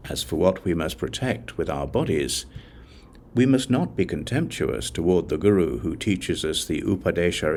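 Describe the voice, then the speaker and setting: Deep male audiobook reader doing scripture, solemn n measured but pitch moves around a lot, bit of background noise. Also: a little mellow.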